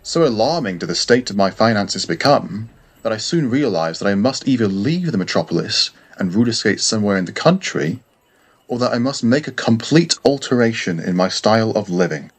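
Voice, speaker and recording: A man reading a classic first-person story as scripted narration, in a measured, formal way. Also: fairly low pitch, expressive, breathy edge. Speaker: audiobook narrator.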